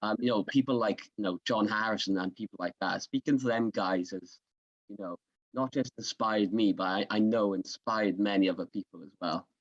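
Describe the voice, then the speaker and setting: A man's voice: on the low side, moderate pace, muffled recording. A man with a Swansea Welsh accent, the podcast host, talking in an upbeat, chatty way during an interview. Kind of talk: conversational interview.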